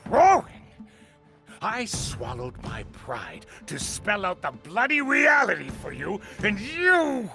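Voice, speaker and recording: Casting: an aging game master-teacher, slow and grieving, bitter, swinging wildly in pitch. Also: huge pitch swings, vocal fry, music in the background, exaggerated voice. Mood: indignant, wounded. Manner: theatrical, impassioned, bitterly rhetorical. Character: aging, grief-stricken master mourning lost disciples, bitterly defiant. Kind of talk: dramatic video game acting.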